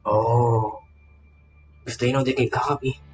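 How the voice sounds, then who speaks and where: A man's voice: medium pitch, fairly even intonation, slow, music in the background. A man streaming a video game, giving casual commentary and often repeating his words and phrases.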